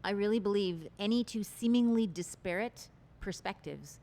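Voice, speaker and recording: A woman's voice: medium pitch, normal speed, warm tone. A woman guest in an interview, talking about relationships in an articulate, expansive and earnest way. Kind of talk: interview conversation.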